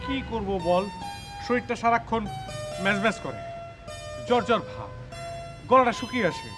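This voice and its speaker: An actor playing a shy, lovesick young bodybuilder who speaks hesitantly in a TV drama. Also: male voice, very high-pitched, very animated, over music. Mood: lovesick, puzzled. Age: young adult. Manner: hesitant, halting. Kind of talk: TV drama dialogue.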